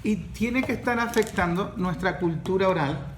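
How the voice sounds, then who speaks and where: High-voiced Venezuelan man in a roomy studio. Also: normal speed, noisy background. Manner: animated storyteller with frequent restarts. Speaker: podcast host and course facilitator. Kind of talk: casual podcast discussion.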